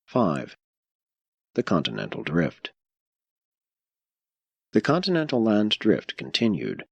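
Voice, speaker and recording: A man reading scripted audiobook narration in a measured, solemn and formal manner. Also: on the low side, huge pitch swings, vocal fry, breathy edge, no echo, highs cut off.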